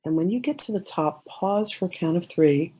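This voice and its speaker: A woman leading a guided meditation in a gentle, soothing way, giving slow instructions with many pauses. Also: on the low side, animated, breathy edge, lo-fi sound.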